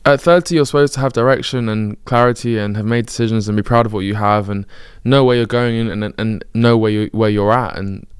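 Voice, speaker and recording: A thirty-year-old man with a British accent speaks to camera in a candid, self-correcting ramble. His voice sits a little low and comes across smooth and clear, and he rattles along at a brisk clip. The sound is close and dry, with no room around it. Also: steady tone, no room sound. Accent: British English. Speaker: vlogger. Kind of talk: reflective personal monologue.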